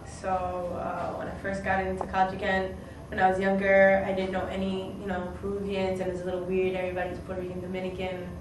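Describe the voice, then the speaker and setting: A young woman answers an interview casually, reflecting as she goes and often hesitating. Her pitch stays flat, and the room echoes with clear noise behind her. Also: fast. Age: young adult. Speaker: interviewee, college student. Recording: echoey, distant mic, noisy.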